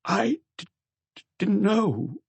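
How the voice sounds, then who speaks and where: A man's voice: high-pitched, big pitch swings, slow pace, creaky, darkish tone, husky, pitched above the performer's own voice, full character voice. A man acting a subdued, shaken middle-aged murderer. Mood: stunned.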